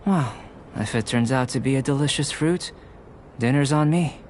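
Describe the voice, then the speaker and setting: A man voicing a calm, curious young male alchemist in a video game, with precise, academic and politely detached delivery. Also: mid-range voice, a little hiss or hum, natural voice. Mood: curious, explaining. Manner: measured, precise, academic phrasing.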